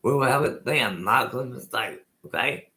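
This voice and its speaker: A man's voice: medium pitch, expressive, moderate pace, bright, forceful tone. A man in his sixties with no teeth, so his speech is unclear at times, chatting in a rambling way as host of his own live stream. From Colorado, USA. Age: 60s. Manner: rambling, repeats himself, restarts often. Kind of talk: casual live-stream chat.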